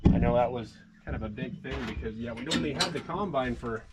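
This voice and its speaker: A man's voice: medium pitch, brisk, very noisy. A man from Montana with a stuffed-up nose from a cold, casually walking viewers around a combine on a live stream. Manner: relaxed rambling with fillers, greets chat viewers. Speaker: farmer livestreaming.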